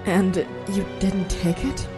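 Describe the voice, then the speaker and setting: A woman voices a calm assistant in an audio drama. She speaks quietly and knowingly at an ordinary pitch. Music sits behind her. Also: takes it slowly, lightly acted.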